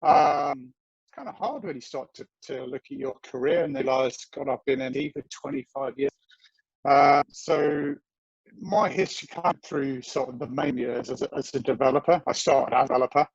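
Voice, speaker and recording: A man's voice: medium pitch, expressive, moderate pace, gravelly, husky, no echo. A man in his forties giving a technical talk over a video call, rambling and self-deprecating with many fillers. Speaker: guest presenter. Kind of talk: technical presentation. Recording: dull lo-fi video call audio.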